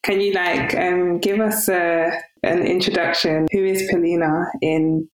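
Low-voiced woman host, echoey room. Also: a little slow. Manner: relaxed, hesitant with fillers and restarts. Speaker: podcast host. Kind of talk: casual interview chat.

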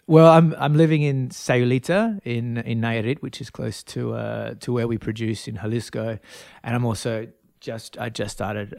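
A man's voice: mid-range voice, creaky, a little mellow, no room sound, clean studio sound. A man in his thirties with an Australian accent, a brand founder telling his story as a guest, full of fillers and restarts. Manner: rambling, many fillers and restarts. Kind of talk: casual interview talk.

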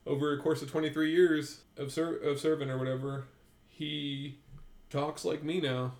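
A man's voice: on the high side, little pitch movement, normal speed, a little mellow, reverberant. A man chatting casually as a podcast guest, rambling with lots of fillers and restarts and casual swearing. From Greek-American, raised in Greece, Netherlands and South Carolina. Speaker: author guest.

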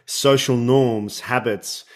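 A man's voice: mid-range voice, steady tone, slow. A man hosting an interview podcast who reflects on and builds on his guest's points. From Australia. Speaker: podcast host. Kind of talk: conversational interview.

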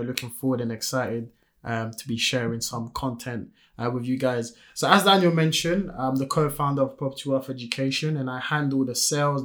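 Eager, chatty French-raised man. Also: mid-range voice. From France. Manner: eager and chatty, with many fillers. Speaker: podcast co-host. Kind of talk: casual podcast chat.

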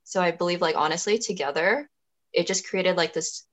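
Low-voiced earnest young woman, reflective. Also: moderate pace. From Canada. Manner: earnest, thoughtful, frequent restarts and "like" fillers. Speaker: podcast guest. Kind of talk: reflective conversational interview.

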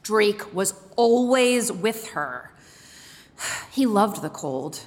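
A woman reads prose aloud from a book at a medium pitch. Her voice rings out in a big, reverberant space, with a long tail of echo after the words. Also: lively intonation, very slow. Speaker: guest reader. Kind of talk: read-aloud book excerpt. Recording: echoey hall with long reverb.

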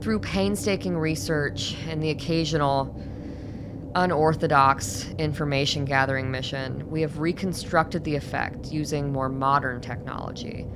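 An actress in a radio play, playing a guarded female doctor who speaks in a measured, formal, clinical way. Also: deep voice, steady tone, noisy background. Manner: formal, explanatory, composed.

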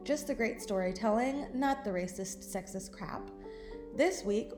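A woman hosts a podcast, reading her introduction at a medium pitch. The sound is roomy and echoing, with clear music behind her. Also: a little mellow. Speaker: podcast host.

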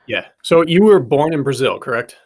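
A man's voice: mid-range voice, expressive, normal speed, darkish tone. A man hosting an interview, asking brief and direct questions.

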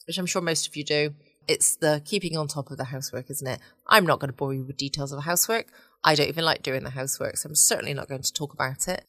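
A woman with an English accent hosting a late-night podcast from home, chatting casually in a relaxed, gently self-mocking way. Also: deep voice, dry recording, clean studio sound. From England. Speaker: podcast host. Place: at home, close dry recording.

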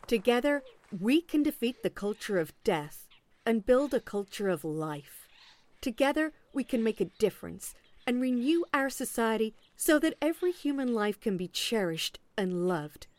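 An actress playing a polite, measured and officious government agent in an audio play. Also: female voice, fairly high pitch, sing-song, a little mellow, dry recording. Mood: solemn, zealous. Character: polite, formal woman government agent on doorstep duty.

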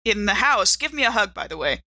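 Female tabletop player, very expressive pitch, close dry sound, casual improvised role-play. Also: a little fast, no echo.

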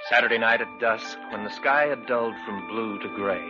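A man's voice: fairly low pitch, a little fast, close to an everyday voice. A man playing a level-headed, sceptical fisherman in an old radio drama, both narrating and acting out the tale. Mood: measured, grave narrating. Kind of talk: 1940s-50s radio acting and first-person narration. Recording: dull vintage radio recording with music.

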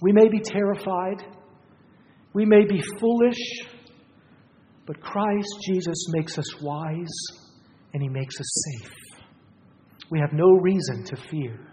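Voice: mid-range voice, steady tone, slow pace, pauses often, dark tone, slight background noise. A preacher delivering a solemn sermon with emphatic, rhetorical phrasing. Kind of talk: sermon with scripture reading. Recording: long hall reverb.

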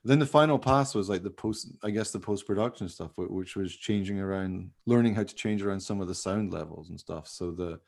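A man's voice: sits low, brisk, vocal fry, hardly any pauses, a little mellow. A man chatting casually and enthusiastically as a podcast host about his creative work, in a rambling way. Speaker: podcast host and amateur radio play producer. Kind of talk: casual chat about making a radio play.

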